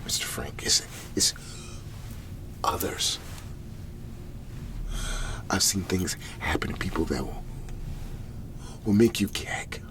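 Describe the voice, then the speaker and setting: A man playing a weary, desperate cleanup agent in an ASMR roleplay, pleading in halting, breathy whispers and murmurs. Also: deep voice, animated. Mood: weary, troubled.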